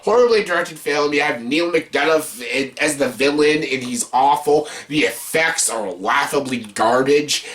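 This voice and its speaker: Bright-voiced man ranting through a movie countdown. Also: mid-range voice, a little slow, few pauses. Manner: exasperated, emphatic, repeats words for stress; blunt, casual swearing. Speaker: host of a movie countdown video. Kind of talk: opinionated unscripted movie review rant.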